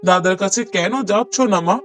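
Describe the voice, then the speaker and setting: A man's voice: sits high, steady tone, brisk, faint music behind, close to an everyday voice. A man voicing a dismissive younger son and henpecked husband in a cartoon story, reproachful and full of excuses. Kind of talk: cartoon moral story voice acting.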